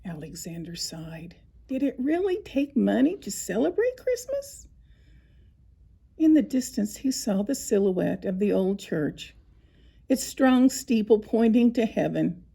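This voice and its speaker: A woman narrating a scripted children's story, with expressive, deliberate phrasing and dramatic pauses. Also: on the high side, vocal fry, husky. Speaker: storybook reader.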